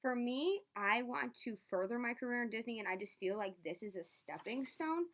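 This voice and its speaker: A woman's voice: on the high side, lively intonation, moderate pace, fairly bright tone. A young adult woman, a student, politely and earnestly answering questions as a candidate in a phone interview.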